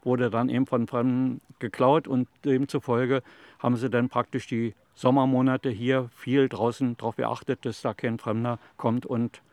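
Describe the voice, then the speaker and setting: Calm, plain-spoken man in his seventies. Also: medium pitch, normal speed, no echo. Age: 70s. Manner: calm, plain, rambling storyteller with fillers like "also" and "eben". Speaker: interviewee, retiree. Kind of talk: casual interview answers. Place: outdoors in a city allotment garden.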